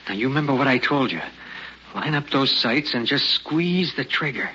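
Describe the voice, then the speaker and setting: An actor playing a gruff, wary prospector father with folksy rural speech in an old radio drama. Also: mid-range voice, lively intonation, moderate pace, pushed and bright. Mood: suspicious. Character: gruff, suspicious prospector father, rough frontier homesteader. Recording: muffled, noisy old radio recording.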